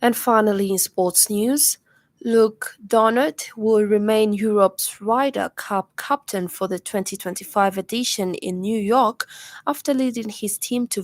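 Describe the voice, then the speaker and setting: A woman news reader with a Zambian accent delivers a radio bulletin. Her pitch sits in the middle range and her delivery is formal and measured. The sound is close and dry, as in a studio. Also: no room sound. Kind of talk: scripted news bulletin reading.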